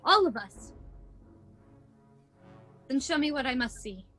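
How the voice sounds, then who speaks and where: A woman's voice: high voice, lively intonation, slow, bright, forceful tone, exaggerated voice. A woman playing a frightened miser in a radio play, pleading dramatically and emphatically. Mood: apprehensive. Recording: home video call recording with music.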